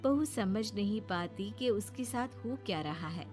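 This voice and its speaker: A woman's voice: medium pitch, expressive, unhurried, a little mellow, soft background music. A woman narrator telling a scripted moral story.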